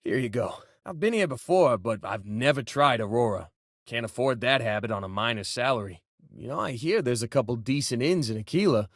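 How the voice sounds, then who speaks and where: A man's voice: mid-range voice, animated, a little fast, no room sound, clean studio sound. An actor playing a friendly, wisecracking male space miner and loyal crewmate in a sci-fi video game, with a casual, folksy, joking manner. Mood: easygoing.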